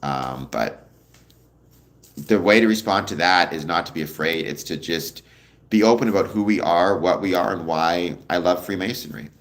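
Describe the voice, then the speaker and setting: A young man hosting a casual livestream, answering viewer comments in a relaxed, rambling way with a deep voice. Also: moderate pace. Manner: relaxed, rambling, many ums, answers chat questions. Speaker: livestream host.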